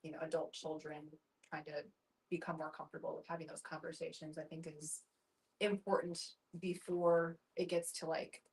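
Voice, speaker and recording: A woman taking part in a thoughtful casual discussion, explaining in a measured, tentative way. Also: low-pitched, level tone, darkish tone, far from the mic, low-quality recording. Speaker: discussion participant.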